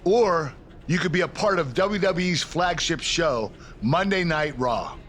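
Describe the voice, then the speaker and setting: Composed wrestling executive. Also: male voice, on the high side, animated, unhurried, fairly bright tone, a little hiss or hum. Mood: persuasive. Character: formal, composed male wrestling show executive. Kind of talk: video game cutscene dialogue.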